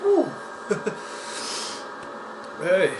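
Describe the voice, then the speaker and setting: A man with a West Yorkshire accent hosting a casual beer-tasting vlog, chatty and unhurried, with plenty of ums and uhs. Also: high voice, wildly swooping pitch. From West Yorkshire, England. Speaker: beer reviewer, channel host. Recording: echoey room with air-conditioning hum.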